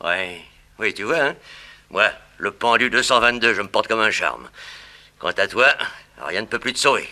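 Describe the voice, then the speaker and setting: An actor playing a sly, vengeful gangster in a 1960s French radio crime serial, with an excitable, sneering, slangy delivery. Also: male voice, lowish voice, moderate pace, exaggerated voice. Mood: vengeful, menacing. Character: gloating, vengeful male gangster, sly villain back from the gallows.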